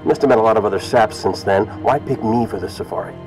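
A man's voice: fairly low pitch, fast, music in the background, lightly acted, low-quality recording. An actor playing a cocky, wisecracking male soldier in a video game, sardonic and flippant. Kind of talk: video game cinematic acting.

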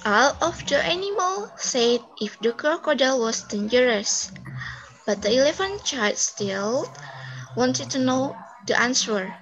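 A woman's voice: a little high, a bit bright, poor audio. A young woman reading scripted story narration as a storyteller on a video call. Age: young adult. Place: indoor room, on video call. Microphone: video call microphone.

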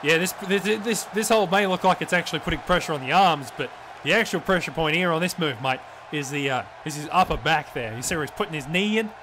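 A man calls a wrestling match in fast, swearing play-by-play, slipping "mate" in often. His voice sits a little high for a man. Steady noise runs behind him on the recording. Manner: excited, profane, drops in "mate" often. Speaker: play-by-play commentator. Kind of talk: wrestling commentary on a video game match. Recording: noisy background.

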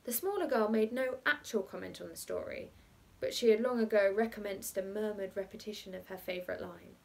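A young adult woman reads a literary short story aloud in a measured, articulate, expressive way. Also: mid-range voice, creaky.

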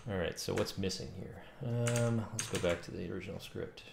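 A man's voice: sits low, fairly quick, gravelly. A man in his thirties talking casually and informally as he thinks aloud while livestreaming game development work. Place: indoor home room. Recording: roomy echo, faint noise, keyboard clicks. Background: faint noise, keyboard typing and clicks.

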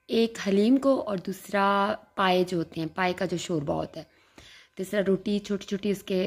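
A young woman talks chattily to her viewers as friends, narrating her day at home into a phone. Her voice is mellow, with a gravelly, husky edge from a sore throat. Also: fairly low pitch. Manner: chatty, warm-hearted, addressing viewers as friends. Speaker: vlogger. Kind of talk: casual home vlog voice-over. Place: home, indoors.

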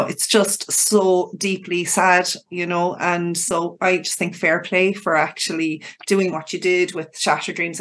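A woman interviews a guest in a casual, conversational way. Her voice is slightly low, and she talks effusively and chattily. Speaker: podcast interviewer.

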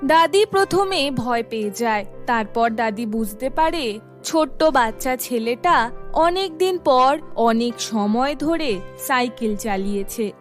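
A woman's voice: fairly high pitch, lively intonation, slow, faint music behind. A woman storyteller reading scripted narration for a children's cartoon tale.

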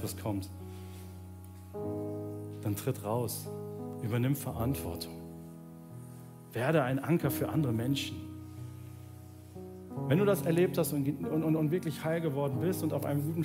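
A man in his thirties, a pastor preaching earnestly on a large church stage, dropping soft and low and then rising to plead, ending in prayer. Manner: earnest, swings between soft low passages and raised pleading. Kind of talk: sermon and closing prayer. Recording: church sermon with music playing underneath. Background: soft instrumental music.

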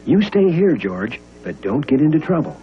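A man's voice: on the high side, big pitch swings, moderate pace, lo-fi sound, soft background music, pitched above the performer's own voice. A man narrator voicing a kindly cartoon guardian of a mischievous monkey.

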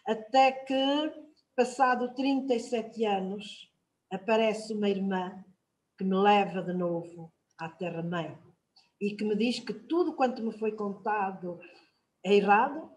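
An older woman from São Tomé and Príncipe with a European Portuguese accent, speaking on a video call panel, reflective and measured with long "ah" pauses. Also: medium pitch, darkish tone, rough recording. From São Tomé and Príncipe, raised in Portugal. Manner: reflective, measured, with drawn-out "ah" fillers. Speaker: guest writer. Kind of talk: panel talk, personal account. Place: home room.

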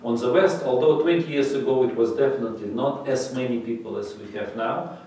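A middle-aged man, for whom English is a third language, answering interview questions in halting speech with many fillers. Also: mid-range voice, dark tone. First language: not English; English his third language. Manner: halting, many fillers and restarts, gestures while talking. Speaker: interviewee, breathing method teacher. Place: bright office room, indoors. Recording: echoey room from a distance.

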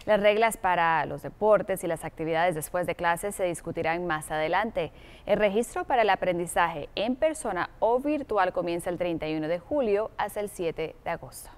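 A woman's voice: fairly low pitch, lively intonation, moderate pace. A woman in her thirties presenting news and weather as a television anchor in a studio.

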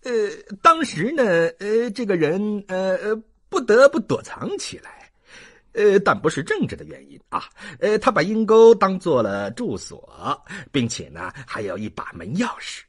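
A narrator voicing a sly, wheedling male swindler in an audiobook, stalling hesitantly with drawn-out ers. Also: mid-range voice, sing-song, a bit bright, breathy edge, pitched above the performer's own voice, exaggerated voice. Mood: sly, insinuating. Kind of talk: audiobook character acting.

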